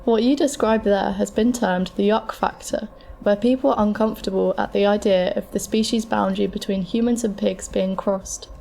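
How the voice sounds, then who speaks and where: A woman plays a calm medical scientist in an audio drama. Her voice is mellow and mid-pitched with plenty of vocal fry. She explains things in a measured, matter-of-fact way. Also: a little hiss or hum, natural voice. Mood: explaining. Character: frank, calm futuristic transplant scientist advising a patient. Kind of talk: scripted explanatory dialogue in an amateur audio drama.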